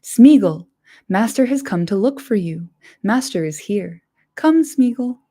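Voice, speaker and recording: A woman voicing an anxious, gentle young male hobbit, pleading softly and urgently. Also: on the low side, a little slow.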